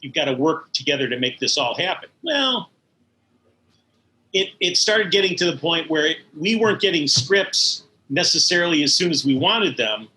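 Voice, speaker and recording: A man's voice: on the high side, very animated, normal speed, bright, forceful tone, slight background noise, poor audio. A middle-aged or older man, an interview guest recalling his work in animation with emphatic enthusiasm. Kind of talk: conversational recollection.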